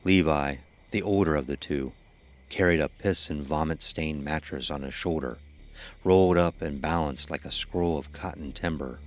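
Male narrator for scripted horror fiction, exceptionally deep register, backed by music. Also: normal speed.

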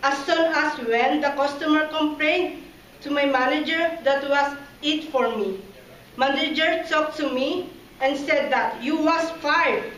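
A young woman speaking English as a second language reads a personal speech in a classroom, slow and careful, with halting restarts. Her voice sits high. The camera picks her up from across a roomy room with a faint hum behind. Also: a bit bright, far from the mic. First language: not English. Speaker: student giving a class speech. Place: classroom with whiteboard and timer screen. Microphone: far camera.

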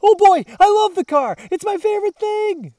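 A man voicing an excitable, naive pet dog who loves food, reading a children's storybook aloud in a dramatic, exuberant way. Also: extremely high pitch, moderate pace, voice pushed up. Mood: overjoyed. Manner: exuberant, dramatic, stretches words out.

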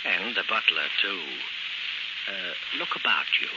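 An actor playing a sinister, mocking, all-knowing narrator in a 1940s radio mystery, speaking sardonically to the heroine. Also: male voice, sits low, animated, measured pace. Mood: taunting. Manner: sardonic, addresses the heroine directly. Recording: noisy, muffled 1940s radio recording.

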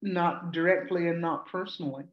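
An older woman acting as discussant, asking questions slowly and deliberately over a video call from a room at home. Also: fairly low pitch, fairly even intonation. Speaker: discussant, interviewer. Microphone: laptop webcam on video call. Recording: roomy, muffled video call audio.

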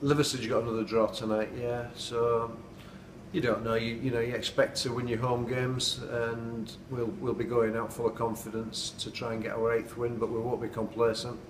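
Middle-aged man, football manager, earnest and flat, noisy room sound. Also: medium pitch, normal speed, far from the mic. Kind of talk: post-match interview. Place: indoors at night, dim room. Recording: echoey room, off-mic, noisy.

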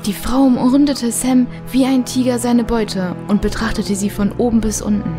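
A woman's voice: a little high, steady tone, normal speed, music in the background. A woman narrating a radio play for children in a scripted storytelling style.

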